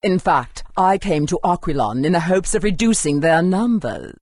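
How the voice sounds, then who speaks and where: A woman voicing an aloof, composed, self-reliant warrior in a video game, speaking formally and in a measured way. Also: lowish voice, animated, a little mellow, no room sound, clean studio sound.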